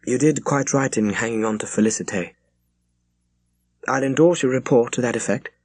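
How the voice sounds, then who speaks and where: A man's voice: medium pitch, moderate pace, a little mellow, pitched above the performer's own voice, close to an everyday voice. A man voicing a frail, kindly old admiral who speaks with approving authority in an audiobook. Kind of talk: audiobook character voice.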